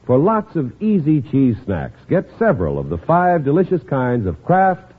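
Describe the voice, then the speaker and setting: A man's voice: on the high side, huge pitch swings, moderate pace, a little hiss or hum. A man announcing and reading ads in polished, salesman-like 1950s radio style. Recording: tinny old radio recording with music.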